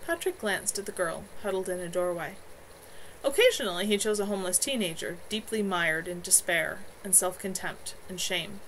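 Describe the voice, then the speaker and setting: A woman's voice: lowish voice, lively intonation, normal speed, a bit bright. Woman reading fiction aloud, noisy background. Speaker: author reading own novel on livestream. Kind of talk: expressive amateur audiobook-style narration. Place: at home, in a room with a bed.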